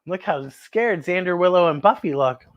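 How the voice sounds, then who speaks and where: A woman's voice: lowish voice, expressive, normal speed, dull audio. A woman hosting children's story time, reading a picture book aloud and exclaiming directly to young viewers.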